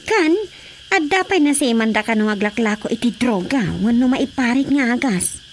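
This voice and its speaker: An actress with an Ilocano accent playing an earnest, gently insistent young village wife in a radio drama. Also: female voice, on the high side, lively intonation, normal speed, a little hiss or hum, close to an everyday voice.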